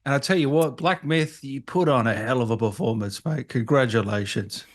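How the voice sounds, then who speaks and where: An Australian man hosts a casual interview in a mid-pitched voice. His way of talking is informal and matey, with a joking streak. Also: normal speed. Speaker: interview host. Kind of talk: casual chat.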